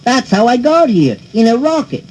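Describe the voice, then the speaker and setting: A man voicing a naive, bumbling astronaut with deadpan, literal-minded humor in a 1960s comedy record. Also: very high voice, normal speed, heavily acted. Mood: earnest.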